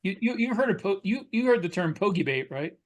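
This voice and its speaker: Man, fairly high voice, podcast panelist, casual conversational delivery. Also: big pitch swings, fairly quick.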